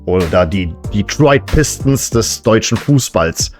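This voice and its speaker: Joking man over clearly audible music. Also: on the low side, expressive, takes it slowly, a little mellow. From Serbian family background. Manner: tongue-in-cheek, joking asides. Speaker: host of a football fan entertainment show. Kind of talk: casual satirical monologue to camera.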